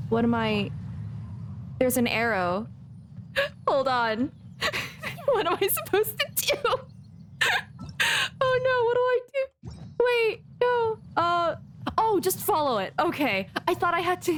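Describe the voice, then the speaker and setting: A woman streaming a video game, chatting casually in a playful, giggly, rambling way. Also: sits high. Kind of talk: casual livestream chat while playing a game.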